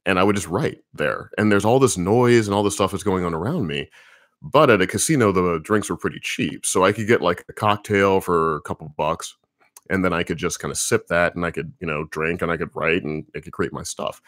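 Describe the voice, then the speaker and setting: Deep-voiced man rambling casually. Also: no echo, studio quality. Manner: relaxed, rambling, thinking aloud with many fillers. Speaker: comics writer answering viewer questions. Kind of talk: casual livestream Q&A.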